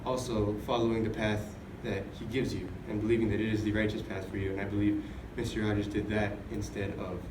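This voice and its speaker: A man's voice: lowish voice, little pitch movement, brisk, few pauses. A young adult man explaining earnestly in a group conversation. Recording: distant mic in echoey noisy room.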